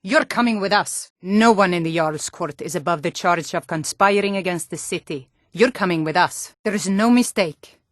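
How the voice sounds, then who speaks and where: A woman's voice: lowish voice, moderate pace, few pauses, dry recording, studio quality, lightly acted. An actress voicing a tough Nord guard and bandit woman in a fantasy video game, stern, threatening and taunting. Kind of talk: video game character voice lines.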